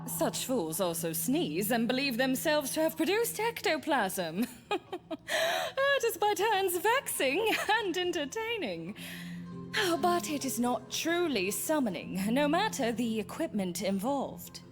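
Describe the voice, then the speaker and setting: A woman's voice: sits high, very animated, takes it slowly, over music, heavily acted. A woman playing an archly amused, haughty spiritualist in a visual novel, with pompous, old-fashioned formal diction. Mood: mocking amusement. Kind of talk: scripted visual novel character acting.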